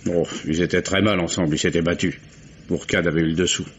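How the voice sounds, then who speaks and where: A man playing a gruff old oyster fisherman. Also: deep voice, fairly even intonation, fast talker, natural voice. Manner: guarded, folksy, grumbling. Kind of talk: 1950s French radio drama acting. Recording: muffled old radio recording with faint hiss.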